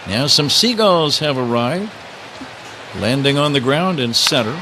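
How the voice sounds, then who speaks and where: A man calling baseball play-by-play live on the radio, slipping wry, casual banter between pitch calls. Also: expressive, unhurried. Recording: clear ballpark noise behind. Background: outdoor stadium ambience.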